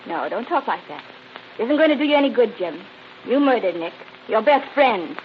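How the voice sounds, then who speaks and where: A woman's voice: on the high side, very animated, moderate pace. An actress playing a reproachful married woman confronting a killer in an old radio crime drama. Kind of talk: 1930s-40s radio crime drama acting. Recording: muffled old radio recording with hiss.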